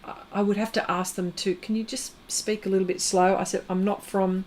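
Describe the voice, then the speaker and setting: A woman's voice: medium pitch, little pitch movement, a little fast, darkish tone. Lady rambling while she does art on a stream, chatty, laughs a lot. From Australia. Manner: chatty, rambling, laughs often, reads out viewer comments. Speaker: art livestream host. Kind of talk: casual chat while demonstrating pastel art.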